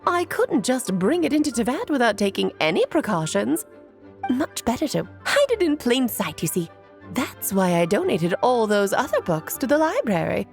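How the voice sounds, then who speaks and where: A woman's voice: fairly high pitch, sing-song, moderate pace, gravelly, soft background music. A woman playing a playful, scheming, self-assured mage aunt in a video game, airy and teasing in a theatrical way. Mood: coy, coaxing.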